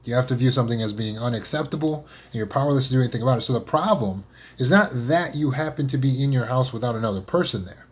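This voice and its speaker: A man gives an unscripted motivational talk in a voice of ordinary middle pitch. He speaks earnestly and often repeats words as he searches for the next thought. He slows down to lean on key phrases, then speeds up through lists. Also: lively intonation, breathy edge.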